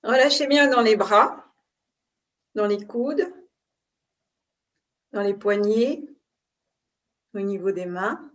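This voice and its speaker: Woman, yoga instructor, slow pace, calm pauses between short phrases, guided relaxation, dull muffled recording. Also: mid-range voice. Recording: distant mic, dull muffled sound.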